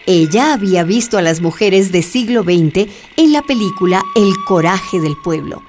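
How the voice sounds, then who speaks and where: A woman's voice: lowish voice, animated, normal speed, a little mellow, faint music behind. A woman narrating a scripted radio drama.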